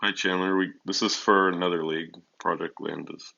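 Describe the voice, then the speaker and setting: A teenage guy with a notably low, forceful voice hosts a livestream. His tone is edged with vocal fry, and he talks casually and off the cuff, filling time between announcements. The sound is slightly dull and lo-fi. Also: steady tone, a little fast. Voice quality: deep voice. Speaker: livestream host of an online racing league draft. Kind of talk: casual livestream chat with announcer-like list reading. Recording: dull, lo-fi microphone.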